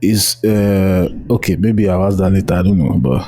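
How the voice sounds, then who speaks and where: Low, mellow Nigerian man hosting. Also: moderate pace. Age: young adult. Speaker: podcast host. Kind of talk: casual audio-room interview. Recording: faint noise, live online audio chat.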